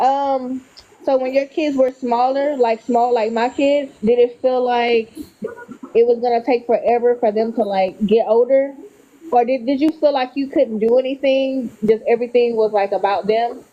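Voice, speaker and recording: A woman's voice: on the high side, fairly even intonation, moderate pace, mellow. A woman hosting a casual chat with a viewer, talking in a loose, chatty way and sounding tired. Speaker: channel host. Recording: dull lo-fi sound.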